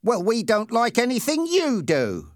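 Man as sneering boy bully, high raised voice, suspicious, accusing, storybook sidekick, dry recording. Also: huge pitch swings, a little slow, dry recording, exaggerated voice. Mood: resentful. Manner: accusing, needling questions.

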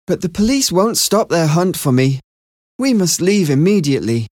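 Storybook young man, earnest, lively pitch, dry close sound, voiced by a woman. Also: moderate pace, no echo.

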